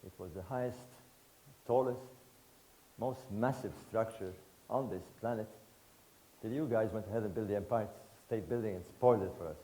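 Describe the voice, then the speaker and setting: A man in his sixties from Egypt, giving a public lecture to an audience in emphatic, rhetorical bursts of short phrases with pauses. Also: on the low side, dark tone, low-quality recording. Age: 60s.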